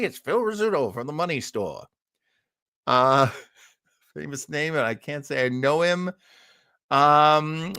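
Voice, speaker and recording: A man's voice: mid-range voice, a bit bright, no room sound, studio quality. A man hosting an old-time radio listening show, chatting casually in a rambling way full of asides. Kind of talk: casual live-stream chat.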